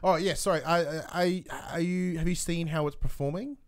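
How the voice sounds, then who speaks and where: Man, podcast host, clean resonant voice, a little high-pitched, informal, rambling, casual stream chat. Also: steady tone, normal speed, clear, resonant tone. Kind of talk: casual film review chat on a livestream.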